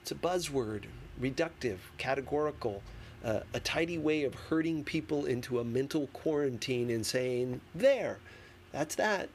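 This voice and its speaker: A man reading a memoir as an audiobook, in a deliberate, emphatic manner with dramatic pauses. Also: mid-range voice, expressive. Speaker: audiobook reader. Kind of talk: expressive scripted narration of a memoir. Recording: clearly noisy background.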